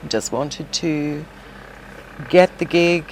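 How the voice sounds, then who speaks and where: A middle-aged woman with a low voice talks through her past in a relaxed interview. She is chatty, circles back with fillers and restarts, and has a faint hum behind her. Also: takes it slowly, rough recording. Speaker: podcast guest, shop owner and former singer. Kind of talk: casual interview chat.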